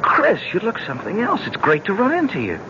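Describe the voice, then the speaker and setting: A man's voice: sits high, wildly swooping pitch, fairly quick. A man playing a breezy young newlywed and loyal buddy, talking colloquially and eagerly in a 1970s radio drama. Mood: hearty. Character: hearty young American newlywed, loyal old football buddy. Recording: muffled, very noisy old radio recording.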